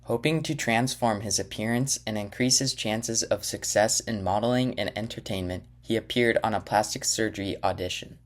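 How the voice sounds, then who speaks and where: A man reading scripted documentary narration in an even, measured way. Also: fairly low pitch.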